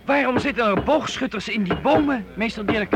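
A man's voice: very high voice, expressive, moderate pace, background noise. A man playing a young, curious and impressionable apprentice boy in a medieval Dutch radio drama, with a wide-eyed, questioning manner.

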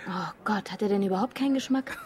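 An actress playing a spirited, sharp-tongued young student in a German radio drama, with a feisty, teasing way of talking. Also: female voice, mid-range voice, quick pace, lightly acted.

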